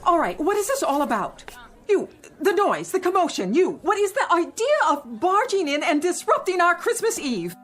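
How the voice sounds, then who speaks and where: A prim, strict mission sister who scolds with a high, lively voice. Clear music plays behind her in this radio drama. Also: moderate pace, full character voice. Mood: indignant.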